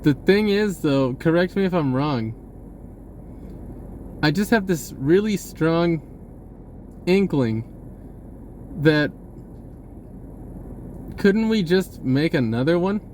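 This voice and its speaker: A man's voice: fairly high pitch, expressive, takes it slowly, pauses often, a little mellow, a little hiss or hum. A man giving a rambling, spontaneous message in an informal, hesitant way, often rephrasing as he goes. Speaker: online video creator.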